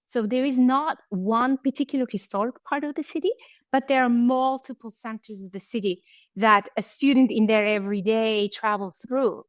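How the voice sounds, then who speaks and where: Measured woman, dry sound. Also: fairly high pitch, no room sound. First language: Turkish. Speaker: study abroad program director. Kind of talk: interview answers.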